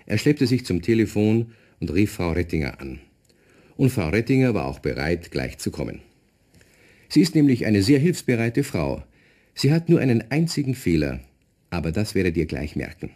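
A man with a Bavarian accent narrating a children's radio play, expressive and speaking directly to young listeners. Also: lowish voice, normal speed.

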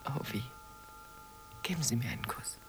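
A flirtatious, yearning female portrait sitter in a radio drama. She speaks intimately with a very lively pitch range, over music. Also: moderate pace, in a whisper, rough recording. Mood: yearning, coaxing. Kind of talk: German radio play acting.

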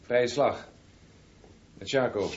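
An actor playing a brisk, watchful swimming coach in a radio drama. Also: male voice, mid-range voice, close to an everyday voice. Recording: muffled, faintly noisy radio drama.

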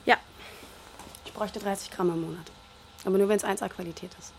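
A woman's voice: mid-range voice, fairly even intonation, fairly quick, vocal fry, a little hiss or hum, lightly acted. An actress playing a cool, self-assured dealer in her twenties, speaking directly and in a businesslike way in a radio drama. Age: 20s. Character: cool, self-assured 25-year-old female drug dealer. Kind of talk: naturalistic radio drama dialogue.

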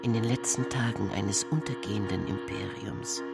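A woman with an extremely deep, gravelly voice recites poetry for a radio play. She keeps it hushed while loud music runs underneath. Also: moderate pace. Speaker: performer reciting German translation. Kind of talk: poetic radio drama recital.

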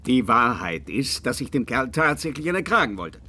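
An actor playing a drunken, self-pitying old painter in a German radio drama, speaking in a theatrical, declamatory way. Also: male voice, medium pitch, very animated, normal speed, noisy background, natural voice. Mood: defensive. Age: older. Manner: theatrical, declamatory, emotionally volatile.

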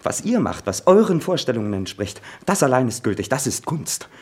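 A man playing an anguished young writer in a stage drama, intense, moving between hushed pleading and outbursts. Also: mid-range voice, very animated, fairly quick, husky. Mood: bitter outrage.

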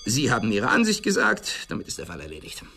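Crotchety elderly millionaire, radio play. Gravelly, brusque, wildly swinging intonation. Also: male voice, mid-range voice, wildly swooping pitch, fast, pushed and bright, soft background music, heavily acted. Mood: curt, dismissive. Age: older. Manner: brusque, growling, impatient. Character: crotchety, imperious old millionaire. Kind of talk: German radio drama acting.

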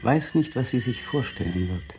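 A man's voice: medium pitch, animated, normal speed, heavy background noise, natural voice. An actor playing a brooding man in a radio drama, narrating his memories in an inner monologue that sometimes rises to fevered intensity. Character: brooding man recalling a still afternoon.

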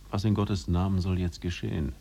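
A man's voice: sits low, level tone, a little fast, vocal fry, mellow. A male actor playing an anxious, law-fearing man in a 1950s German radio drama, grave and questioning. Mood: troubled. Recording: noisy 1950s radio recording.

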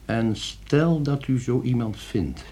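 An actor playing a wary, sardonic addict with a dry, guarded manner in an old radio play. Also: male voice, mid-range voice, slow, mellow. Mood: guarded, probing. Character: wary, sardonic morphine addict being hired to kill. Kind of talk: Dutch radio play acting.

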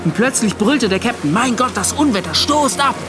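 An actor in a radio play voicing a bitter, shaken young seaman, giving his account in halting, broken phrases. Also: very high voice, expressive, over loud music. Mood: agitated. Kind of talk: radio play acting, first-person account.

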